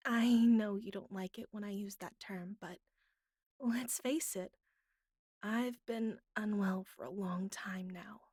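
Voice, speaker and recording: A woman playing a bratty but vulnerable young captive in an intimate audio roleplay, speaking haltingly with pleading, pouty turns and soft breathy asides. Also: mid-range voice, fairly even intonation, no room sound, studio quality. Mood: soft, content.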